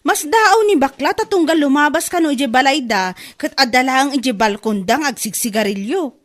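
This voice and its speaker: A woman's voice: sits high, lively intonation, moderate pace. A woman with an Ilocano accent acting an aggrieved, gossipy laundrywoman in a radio comedy drama, given to exclamations. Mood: gossipy, surprised. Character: gossipy, aggrieved laundrywoman chatting with her friend.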